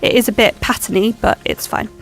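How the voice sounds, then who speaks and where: A woman's voice: fairly high pitch, steady tone, fairly quick, low-quality recording. Chatty woman with a cold. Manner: rambling, self-deprecating, lots of ums. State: stuffy from a cold. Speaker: planner vlogger. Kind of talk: chatty plan-with-me narration.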